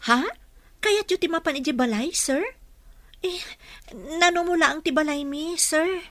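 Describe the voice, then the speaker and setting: Bright, high-voiced young provincial woman. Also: a little slow, dry recording. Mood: flustered, disbelieving. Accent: Ilocano. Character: humble young provincial woman employee, polite to her boss. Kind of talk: Ilocano radio drama acting.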